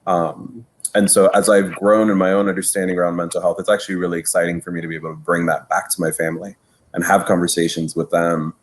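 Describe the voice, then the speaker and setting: Man from Miami, very deep voice, thoughtful panelist. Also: flat delivery, fast talker. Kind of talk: casual panel discussion.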